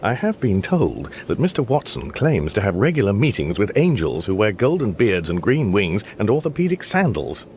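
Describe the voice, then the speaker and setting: A man's voice: lowish voice, sing-song, moderate pace, few pauses, a little mellow, a little hiss or hum. An actor playing a mild, baffled, apologetic Englishman with an English accent in a radio comedy drama, speaking hesitantly with dry understatement. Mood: bemused, rueful. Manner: hesitant, bemused, dryly understated.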